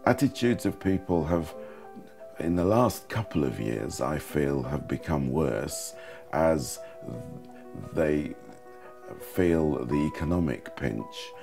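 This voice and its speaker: A man born in Hungary giving interview testimony in a slow, thoughtful way with pauses. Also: a bass voice, lively intonation, gravelly, over music. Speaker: interviewee, former refugee.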